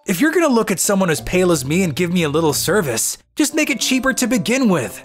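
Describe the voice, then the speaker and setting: A man's voice: high-pitched, sing-song, quick pace, soft background music. A man playing a weary, cynical young office worker who grumbles and narrates his own manga story in a dry, exasperated way. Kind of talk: dubbed manga story narration in first person.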